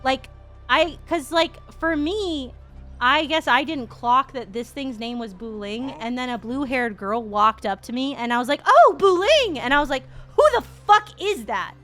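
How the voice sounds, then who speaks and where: Female game streamer, rambling and sweary, bright forward voice, animated casual commentary over soft music. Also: sits high, a little slow.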